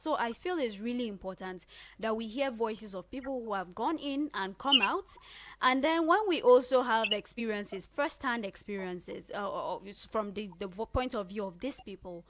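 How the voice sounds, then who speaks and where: A woman with a Nigerian accent hosting a radio interview, speaking in an expressive, affirming way. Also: mid-range voice. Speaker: radio host. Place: radio studio.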